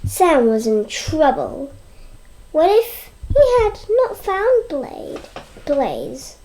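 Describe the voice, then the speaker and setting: A child reading a storybook aloud in a halting way, stumbling and repeating words. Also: high-pitched, sing-song, darkish tone, a little hiss or hum, poor audio. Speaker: read-aloud presenter.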